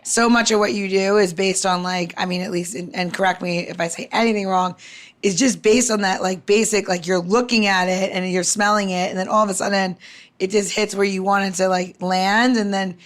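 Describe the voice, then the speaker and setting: A woman chats as a podcast host with a blunt, salty edge. She talks informally and slips into quoted back-and-forth when telling a story. Her pitch sits in a middle range. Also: steady tone, brisk. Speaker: cocktail maker. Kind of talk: casual podcast chat with anecdotes.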